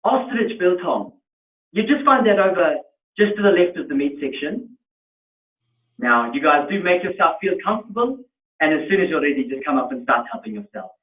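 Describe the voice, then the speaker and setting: A man putting on a South African waiter, smug and high. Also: very animated, moderate pace, distant mic. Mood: smooth, courteous. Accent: South African (put on). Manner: smooth, courteous, condescending. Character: polite male restaurant waiter, smug and dismissive. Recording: tinny, echoey room from afar.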